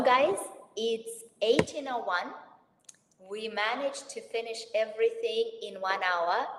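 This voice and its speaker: A woman with a Russian accent speaks at a middling pitch, taking questions and answering them as a consultant would in a webinar. Her voice rings in a long reverb, with faint rumbling noise underneath. She talks informally and addresses her listeners directly. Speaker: real estate consultant hosting a webinar.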